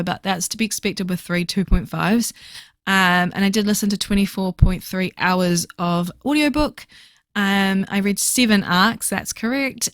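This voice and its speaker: A woman recording a casual voiceover for her reading journal video, chatty and fast-talking with lots of fillers, her voice slightly hoarse. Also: mid-range voice, no echo. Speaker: book vlogger.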